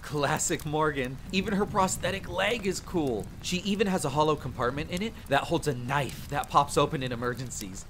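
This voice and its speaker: A man playing an enthusiastic, admiring monster-hunter comrade who gushes excitedly in an audio drama. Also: on the high side, moderate pace, few pauses, a little hiss or hum.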